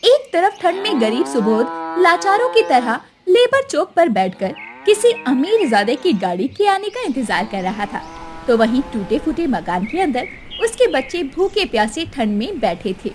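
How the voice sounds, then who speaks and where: A woman tells a cartoon story in a high, lively voice. Her scripted narration is dramatic, and music plays clearly behind her. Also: moderate pace.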